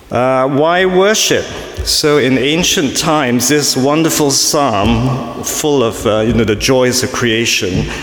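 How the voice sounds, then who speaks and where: A man from Singapore preaches to a family congregation in a loose, chatty way, tossing in self-mocking jokes and plenty of ums. His voice sits at an ordinary middle pitch and rings around a big church, with a long reverb tail after each phrase. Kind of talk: sermon to a family church service. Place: large church.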